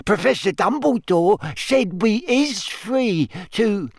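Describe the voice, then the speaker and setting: Storybook house elf, male: small, devoted and timid, high squeaky voice, slow swooping delivery. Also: lively intonation, no echo, studio quality, full character voice. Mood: earnest, serious. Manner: halting, deferential, broken grammar.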